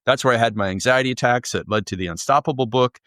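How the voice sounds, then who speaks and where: A man's voice: medium pitch, fast, fairly bright tone, no echo, clean studio sound. A man from Canada, a guest author, answering interview questions in a fluent, upbeat, storytelling way. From Canada, near Toronto.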